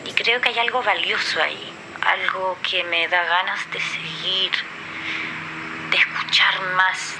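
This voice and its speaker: A woman's voice: fairly low pitch, steady tone, unhurried, background noise. A woman playing a thoughtful, uncertain, sincere young professional leaving a voice message in an audio drama. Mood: pensive, sincere.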